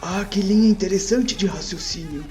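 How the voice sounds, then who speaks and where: A man plays an analytical male professor in a tabletop game drama. He sounds mellow and articulate, with measured, formal phrasing over faint music. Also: sits high, voice pushed up, lightly acted. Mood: fascinated. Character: scholarly male professor, analytical and fascinated by fanaticism.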